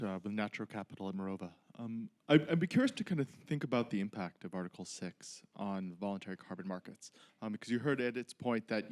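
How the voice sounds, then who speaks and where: A man in the audience introduces himself and poses a thoughtful, tentative question. His voice sits a little low. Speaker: audience member asking a question.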